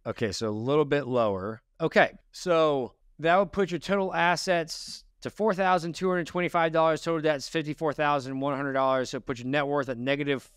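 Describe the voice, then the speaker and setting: A man's voice: fairly high pitch, fairly quick, hardly any pauses, clean tone, no echo, clean studio sound. A man hosting a personal finance interview, coaching his guest in a direct, encouraging way and repeating his key points.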